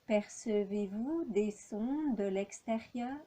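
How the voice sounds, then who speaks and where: Very slow, mellow woman guiding relaxation. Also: mid-range voice. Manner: calm, measured delivery with long pauses. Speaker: yoga nidra instructor. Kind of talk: guided relaxation meditation, instructing step by step.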